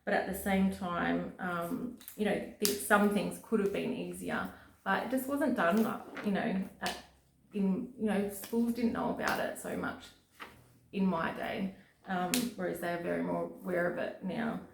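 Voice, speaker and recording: A woman with a croaky voice, hosting a rambling, casual chat full of fillers. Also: on the low side, fairly even intonation, a little slow, reverberant, slight background noise, low-quality recording. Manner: chatty, rambling with many fillers. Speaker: host.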